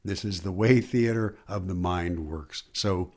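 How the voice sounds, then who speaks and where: A man's voice: sits low, measured pace, a little mellow. A middle-aged or older man, a voice actor, speaking casually as he shares personal recollections. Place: his own studio.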